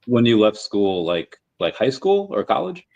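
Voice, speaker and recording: Deep American man hosting a podcast, dull lo-fi audio, halting friendly questions. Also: sing-song, vocal fry, darkish tone. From United States. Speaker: podcast host. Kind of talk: casual interview chat. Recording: dull lo-fi sound.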